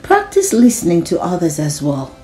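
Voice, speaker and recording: A woman hosting a children's read-aloud, animated and encouraging, speaking directly to her young listeners with little check-in questions. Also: lowish voice, moderate pace, faint music behind, low-quality recording.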